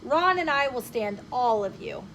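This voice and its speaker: A woman's voice: high-pitched, animated, moderate pace, fairly bright tone, slight background noise. A woman voicing a fair-minded, confident schoolboy in a children's story, firm and direct.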